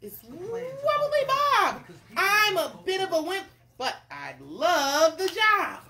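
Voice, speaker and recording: Woman putting on a high-pitched, terrified wimpy male cook. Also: wildly swooping pitch, slow pace, bright, forceful tone, exaggerated voice. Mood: nervous. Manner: stammering, pleading.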